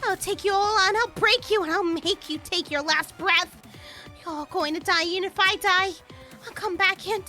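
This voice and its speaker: A woman doing a shrill, furious little girl. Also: expressive, a little fast, few pauses, sharp tone, faint music behind, heavily acted. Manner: screaming threats.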